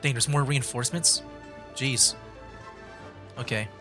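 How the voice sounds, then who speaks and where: A man's voice: mid-range voice, steady tone, unhurried, music in the background. A man streaming a video game with rambling, laid-back, casual commentary.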